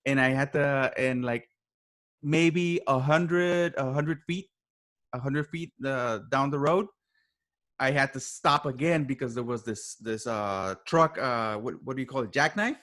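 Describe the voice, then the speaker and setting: A man speaking as a podcast guest, casually telling a story with repeated phrases and halting starts, acting out the quoted dialogue. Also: medium pitch, fairly bright tone. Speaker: podcast guest, writer and voice actor.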